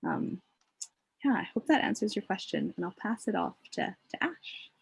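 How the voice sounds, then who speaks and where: A woman's voice: medium pitch, very animated, moderate pace, creaky, husky, no room sound, rough recording. A young woman on a panel, speaking over a video call in a thoughtful, earnest way with many fillers. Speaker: panelist, community advocate.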